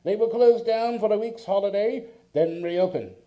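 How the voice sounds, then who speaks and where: A man's voice: high voice, normal speed, mellow, close to an everyday voice. A man playing a smug scheming old banker on the phone. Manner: smug, menacing. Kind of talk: community radio play. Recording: dull phone-line sound.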